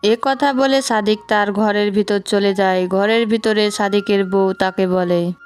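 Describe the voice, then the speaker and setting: A woman narrating a scripted cartoon moral story in a clear, smooth voice, also speaking the wives' parts. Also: medium pitch, fairly even intonation, a little slow, faint music behind.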